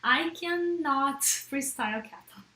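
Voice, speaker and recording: A woman's voice: high-pitched, lively intonation, unhurried, sharp tone, reverberant. A woman whose first language is Portuguese, chatting casually to a live-stream audience in English and often checking in with "right?".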